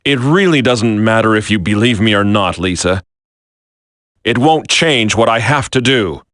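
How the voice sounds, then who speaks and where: An actor playing a grim, sorrowful renegade commander in a video game, speaking in a measured, brooding way. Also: male voice, lowish voice, animated, fairly bright tone, no echo, clean studio sound. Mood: cold resolve.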